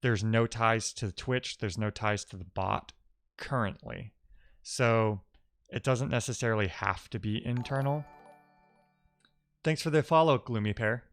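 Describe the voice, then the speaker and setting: A man's voice: fairly low pitch, a little slow, faint music behind. A man giving casual, think-aloud commentary as a live-coding streamer, often muttering to himself and trailing off.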